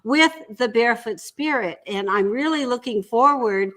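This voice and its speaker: A woman speaking as an interview guest, explaining in a measured, deliberate way and repeating points for emphasis. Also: a little high, fairly bright tone. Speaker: audiobook producer and guest. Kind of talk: conversational explaining.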